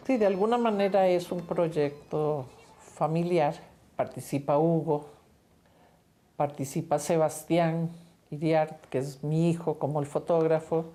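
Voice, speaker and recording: An older woman from Costa Rica, a film director, talking about her work in a reflective, unhurried interview. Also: deep voice, warm tone.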